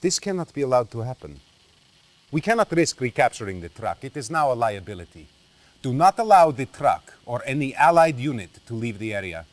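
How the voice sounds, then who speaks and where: A man's voice: medium pitch, sing-song, brisk, no echo, lightly acted. Man playing a cold, calculating Soviet officer. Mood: firm, commanding. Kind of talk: dramatic game cutscene acting.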